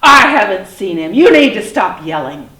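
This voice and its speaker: A woman voicing a big, impatient sharp-toothed male dinosaur in a storybook tale, loud, blunt and scolding. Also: medium pitch, sing-song, moderate pace, roomy sound. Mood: brusque, annoyed.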